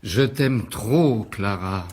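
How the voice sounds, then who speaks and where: An actor playing an intense, troubled stage actor in a French radio drama, with a husky voice. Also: male voice, lowish voice, lively intonation, drawn-out words, darkish tone, full character voice. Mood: anguished, declamatory.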